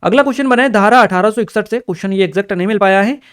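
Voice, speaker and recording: A man's voice: sits high, racing, clear, resonant tone. A man explains exam questions as a coaching teacher, repeating key terms and reminders in an instructional way. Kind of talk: explanatory exam-paper lecture to camera or screen.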